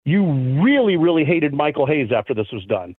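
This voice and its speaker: A man's voice: on the high side, big pitch swings, brisk, breathy edge, dry recording. A male guest reminiscing enthusiastically in casual chat, with a slight tickle in his throat. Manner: enthusiastic, reminiscing, many "you know" fillers. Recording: tinny phone line, dry.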